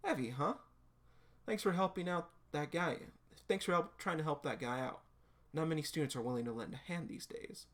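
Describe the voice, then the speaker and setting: A confident, considerate schoolgirl from a comic, read out by a performer. The voice sits at a middle pitch, plain, composed and straightforward. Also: normal speed, natural voice. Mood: grateful.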